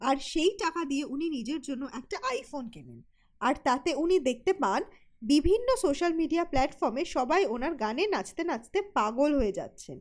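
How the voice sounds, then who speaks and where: Woman narrator, scripted cartoon storytelling, high pitch, very varied intonation. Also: animated, a little fast.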